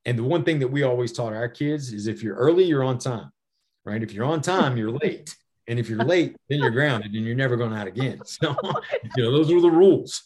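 A man's voice: mid-range voice, a little fast, a little mellow. A middle-aged man with an American accent answering interview questions conversationally, explaining things with personal anecdotes and often saying "you know". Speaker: podcast guest, safety author and former federal air marshal.

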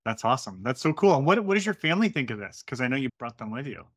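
A man hosting a podcast interview, upbeat and quick with enthusiastic interjections. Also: medium pitch, very animated. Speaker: podcast host.

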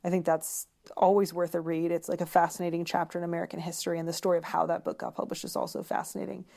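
A woman speaking as an interview guest in a conversational way, searching for words with many pauses and repeats. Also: lowish voice, flat delivery, gravelly, dark tone.

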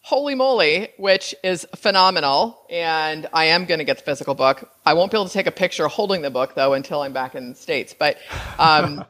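A woman's voice: deep voice, expressive, brisk, a bit bright. A woman in her fifties hosting a podcast interview, chatty and enthusiastic with frequent restarts. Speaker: podcast host. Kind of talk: casual interview chat.

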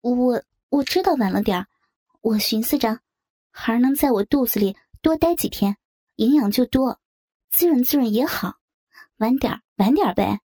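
Woman acting young rural wife, high mellow voice, Northeastern Chinese accent, timid, pleading, naive, audio drama. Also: lively intonation, normal speed. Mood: sheepish, anxious. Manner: hesitant, pleading.

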